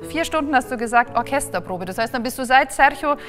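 A woman's voice: high-pitched, animated, fast, fairly bright tone, long reverb tail. A young woman hosting a children's music show, asking curious, playfully surprised questions. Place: stage set with suitcases.

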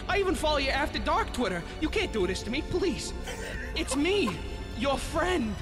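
Brief: male actor as whiny comic villain, very high voice, swooping pleas, improvised game fandub. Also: big pitch swings, moderate pace. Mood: pleading. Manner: rambling, exclaiming, pleading. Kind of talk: improvised comedy dub. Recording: game music under the voice.

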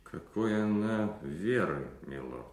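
A man's voice: deep voice, lively intonation, slow pace, darkish tone. An actor playing a devout, gullible puritan officer in a radio drama, solemn and earnest in his declamation. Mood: solemn, restrained. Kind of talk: classic radio drama acting.

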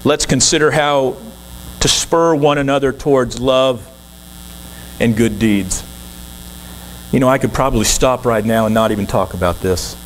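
A man's voice: mid-range voice, normal speed, pauses often, slight background noise, low-quality recording. Earnest older man preaching from a pulpit. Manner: earnest, conversational, with gestures. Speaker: guest preacher. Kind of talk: sermon. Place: church sanctuary, at the pulpit.

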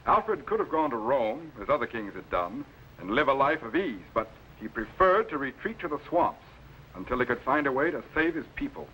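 An actor playing a commanding, earnest scientist captain in a 1950s TV serial, speaking in a clipped manner. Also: male voice, medium pitch, expressive, normal speed, sharp tone. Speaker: time-travelling scientist captain. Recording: noisy old 1950s TV film soundtrack.

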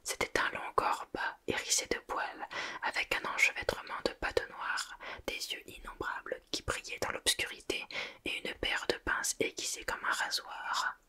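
A speaker reading a novel aloud in a soft, unvoiced whisper and then reviewing it, close to the microphone. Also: moderate pace. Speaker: book reader and reviewer.

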